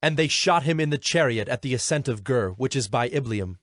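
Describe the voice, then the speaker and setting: A man narrating scripture in a measured, formal style as part of a dramatized audio Bible. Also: medium pitch, animated, fairly bright tone, no echo, studio quality. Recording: background music under the voice.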